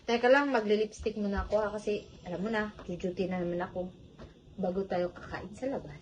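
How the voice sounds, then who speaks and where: A woman's voice: medium pitch, brisk, darkish tone, lightly acted. A Filipino woman playing a hardworking, self-sacrificing older sister in a stage drama, speaking in an earnest, motherly, pleading way. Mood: casual. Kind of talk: amateur one-act stage play acting in Filipino. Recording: dull lo-fi sound, faint noise.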